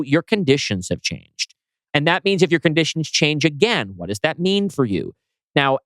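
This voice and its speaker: A man's voice: a little high, a bit bright, clear, resonant tone, no echo, rough recording. A man co-hosting a podcast, casually explaining his own writing, posing rhetorical questions and swearing offhandedly. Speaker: podcast co-host and scriptwriter.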